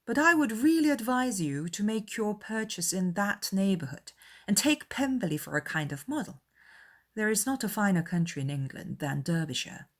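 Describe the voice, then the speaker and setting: A woman's voice: medium pitch, big pitch swings, normal speed, pitched above the performer's own voice. A woman voicing a haughty, fawning young society lady in a dramatized period novel. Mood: gushing, superior.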